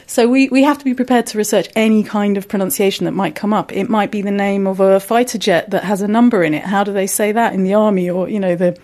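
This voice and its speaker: A woman linguist talking at length in an interview, explaining in a relaxed way with many fillers. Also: medium pitch, quick pace, few pauses. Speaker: broadcaster's pronunciation linguist. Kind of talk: casual interview answers.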